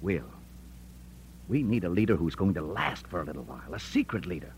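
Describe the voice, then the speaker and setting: A man's voice: deep voice, sing-song, brisk. An actor playing an amiable, eccentric, stubborn frontier newspaperman with a folksy, easygoing manner in a 1940s radio drama. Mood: earnest. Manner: folksy, easygoing, drawling old-West turns of phrase. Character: amiable, eccentric, stubborn crusading newspaperman in 1850s San Francisco. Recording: noisy old radio broadcast recording.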